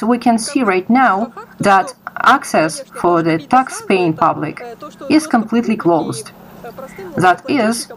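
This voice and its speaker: A woman's voice: medium pitch, poor audio. A young adult woman from Kazakhstan giving informal, explanatory answers as an interviewee. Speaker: interviewee, project creator.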